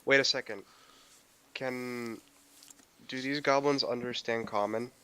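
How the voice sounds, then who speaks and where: A man with a bright, forceful voice at middle pitch plays a tabletop roleplaying game. He talks casually, exclaims bluntly and tends to repeat himself. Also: fairly even intonation, unhurried, clean tone.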